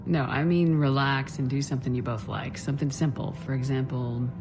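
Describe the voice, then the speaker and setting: Game military commander lady, low steady voice, direct, music behind. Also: a little fast. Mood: encouraging. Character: composed, pragmatic female military commander. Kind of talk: video game dialogue.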